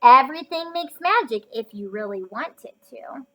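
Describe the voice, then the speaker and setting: A woman reading a children's picture book aloud in an expressive, emphatic storytelling manner. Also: high voice, normal speed, a little hiss or hum.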